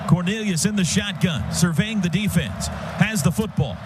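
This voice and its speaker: A man's voice: sits high, lively intonation, normal speed. An American man calling live football play-by-play on the radio in short clipped phrases. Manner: clipped phrases, calls action as it unfolds. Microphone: broadcast booth microphone. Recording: loud stadium noise behind the voice. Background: loud outdoor stadium ambience.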